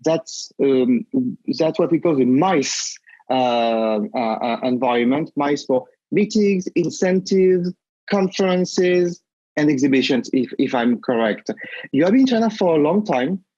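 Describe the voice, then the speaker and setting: A man's voice: on the high side, expressive, measured pace, mellow, no room sound. A man hosting a business podcast, asking long questions with many fillers and restarts. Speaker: podcast host. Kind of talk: interview questions. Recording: dull dry lo-fi sound.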